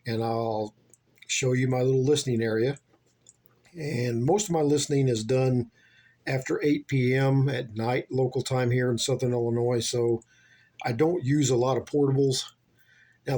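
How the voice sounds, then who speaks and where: Male hobbyist vlogger from the US, mid-pitched, folksy and unscripted, rambles a little. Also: darkish tone. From United States. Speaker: shortwave radio hobbyist giving a tour. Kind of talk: casual unscripted vlog narration.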